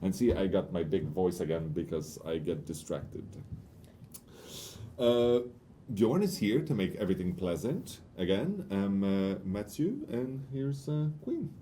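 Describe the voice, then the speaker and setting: A man's voice: low voice, very animated, unhurried, dark tone, a little hiss or hum. A man hosting a casual game-studio livestream, hesitant with many fillers and restarts. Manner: hesitant, lots of uhs and restarts. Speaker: community stream host. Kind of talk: casual livestream news chat.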